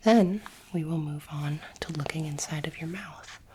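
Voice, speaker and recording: A woman voicing a calm, clinical alien doctor in an ASMR roleplay, whispering softly in a measured, formal and soothing way. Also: sits low, slight background noise, close to an everyday voice. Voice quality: soft, breathy whisper. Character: calm clinical alien space doctor examining a human specimen.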